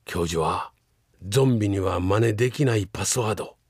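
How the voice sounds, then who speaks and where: An actor with a Kansai accent playing a panicky male researcher fleeing zombies in a comedic radio drama, urgent and excitable. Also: on the low side, brisk, breathy edge, no echo, studio quality. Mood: explanatory, grave.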